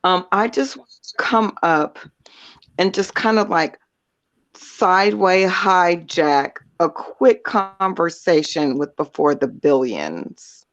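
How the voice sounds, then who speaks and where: A raspy-voiced man joining a live chat as a guest, playfully teasing a juicy story. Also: sits high, animated, slow pace, fairly bright tone, muffled recording. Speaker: guest caller. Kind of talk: casual live-stream chat.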